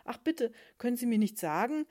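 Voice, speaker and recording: A woman's voice: on the high side, animated, fairly quick, a little mellow, voice pushed up, lightly acted. A woman voicing an eager, naive little female anchovy who keeps pleading for directions. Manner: pleading, breathless, questioning.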